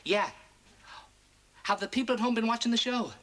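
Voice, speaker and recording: A comedian man doing a stand-up routine as a pretend phone call to his mother, firing off quick one-liners. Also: extremely high pitch, fairly bright tone, a little hiss or hum.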